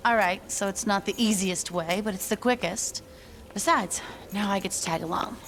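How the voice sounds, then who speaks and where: A woman's voice: medium pitch, moderate pace, fairly bright tone, close to an everyday voice. A woman playing a sarcastic, pushy young female gang member in a video game. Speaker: gang lieutenant. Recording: game audio with background noise.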